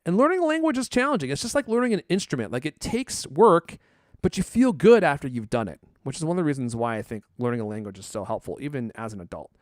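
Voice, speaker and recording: A man's voice: on the high side, sing-song, quick pace, no room sound, clean studio sound. A man hosting a podcast, chatting casually and reading ads in a jokey, teasing way with theatrical emphasis. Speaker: podcast host. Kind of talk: casual chat and ad reads.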